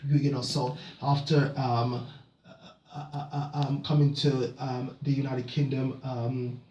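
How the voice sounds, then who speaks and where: Halting man, echoey room. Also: medium pitch, darkish tone, far from the mic. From Jamaica. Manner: halting, many fillers and restarts, building to emphatic repetition. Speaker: motivational speaker. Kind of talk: reflective motivational monologue.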